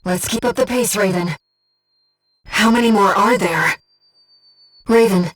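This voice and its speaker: Casting: actress for a disembodied female mission guide in a mech game; soft, breathy, measured, earnest and devoted, medium pitch, slightly distant sound. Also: faint music behind, lightly acted. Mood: composed, focused. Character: calm, devoted disembodied female voice in a pilot's head. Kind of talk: video game voice acting, tactical briefings.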